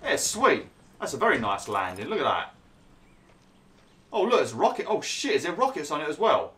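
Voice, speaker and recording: A man's voice: a little high, big pitch swings, normal speed, bright, forceful tone. A young man commentating over gameplay, chatty and grumbling, saying he is losing his voice. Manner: chatty, grumbling, laughs at himself. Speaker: gaming YouTuber.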